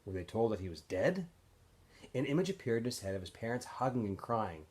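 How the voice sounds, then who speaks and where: A man reading a novel aloud as a teacher would for students, in an expressive, animated storytelling way with phrases that often trail off softer. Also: on the low side, a little fast. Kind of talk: expressive audiobook-style read-aloud narration.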